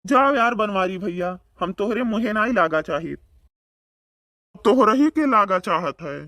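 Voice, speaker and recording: A man's voice: sits high, animated, unhurried, bright, forceful tone, no room sound, exaggerated voice. A man voicing a boastful, bickering village man in a comedy cartoon, speaking with an Awadhi accent and taunting banter. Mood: mocking. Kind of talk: comedic cartoon dialogue in rural dialect.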